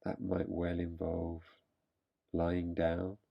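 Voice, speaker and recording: Male meditation guide, deep creaky voice, unhurried phrasing with long pauses, slightly dull recording. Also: completely flat delivery.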